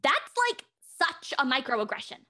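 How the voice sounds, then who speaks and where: A woman's voice: high-pitched, big pitch swings, normal speed, sharp tone, dry recording, clean studio sound, exaggerated voice. A young woman playing a spoiled, dramatic socialite in an audio drama. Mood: indignant. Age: young adult. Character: spoiled, indignant young actress playing a secretive socialite house guest.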